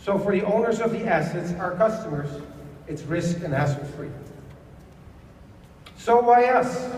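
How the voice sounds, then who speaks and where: A man giving an earnest, salesy startup pitch on stage, with a few stumbles. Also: slight background noise. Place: large event hall. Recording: echoey hall, voice from far off.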